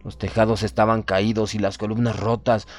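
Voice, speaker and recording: A man narrating a literary horror audiobook in a solemn, measured, formal manner. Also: fairly low pitch, little pitch movement, darkish tone. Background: background music.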